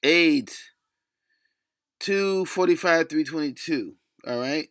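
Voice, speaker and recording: Middle-aged man, bright lisping voice, ranting. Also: fairly high pitch, slow. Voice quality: lisp. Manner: blunt, cursing, digressive, often breaking off mid-thought. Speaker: solo video blogger. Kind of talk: rambling opinion rant to camera.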